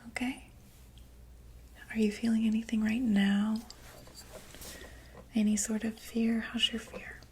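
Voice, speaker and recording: A woman whispering an ASMR medical roleplay as a doctor, gently reassuring and checking in with the listener. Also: fairly high pitch, flat delivery, slow, long pauses, a little hiss or hum.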